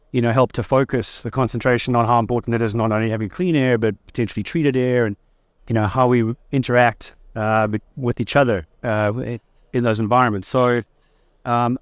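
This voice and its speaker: A South African man with a smooth, clear voice of middle pitch, answering questions at a trade fair stand. He sounds easygoing and thoughtful, with the odd light joke. The sound is close and dry. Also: steady tone, a little fast, dry recording. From South Africa (Durban). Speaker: guest. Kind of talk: conversational interview.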